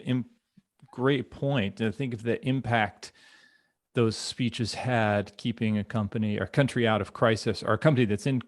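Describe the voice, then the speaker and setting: A man's voice: mid-range voice, no echo, studio quality. A man hosting a casual podcast chat in an enthusiastic, effusive manner. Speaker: podcast host.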